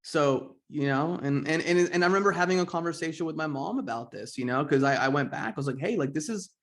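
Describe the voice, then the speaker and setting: A man's voice: fairly high pitch, fast. A man in his forties hosting a podcast interview, talking candidly and rambling with lots of fillers and restarts. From United States. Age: 40s. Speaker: podcast host.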